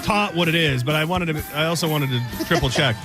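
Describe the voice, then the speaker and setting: A man's voice: fairly high pitch, brisk, music in the background. A man from Michigan hosting a radio show, telling a rambling, self-deprecating story in casual banter.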